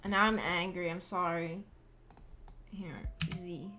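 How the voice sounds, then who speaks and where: A woman's voice: fairly low pitch, little pitch movement, takes it slowly, pushed and bright, slight background noise. A young woman chatting casually as a VTuber on a livestream, rambling in a giggly, hesitant way. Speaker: VTuber streamer. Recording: background music behind voice. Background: music.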